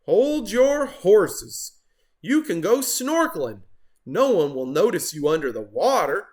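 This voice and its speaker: Helpful horse, played by a male reader: bold, emphatic, encouraging, lively pitch. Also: slow, heavily acted.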